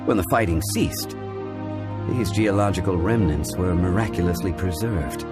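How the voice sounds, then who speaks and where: Deep-voiced, composed scholarly gentleman played by a man. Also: lightly acted. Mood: grave, explanatory. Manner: formal, deliberate, scholarly. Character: composed, learned ancient gentleman, grave and measured. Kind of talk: video game character dialogue. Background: music.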